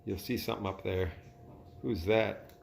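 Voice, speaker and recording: A man's voice: lowish voice, steady tone, normal speed, vocal fry, a little mellow, a little hiss or hum. A man reading a children's story aloud in a conversational way, with casual asides to a child as a parent would. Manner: conversational, pointing out pictures to a child. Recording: echoey room.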